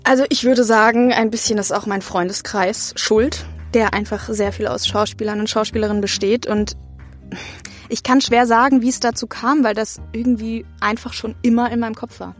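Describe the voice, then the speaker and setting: High-voiced young woman, casual chat. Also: fairly even intonation, moderate pace, soft background music. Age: 20s. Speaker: interview guest, acting student.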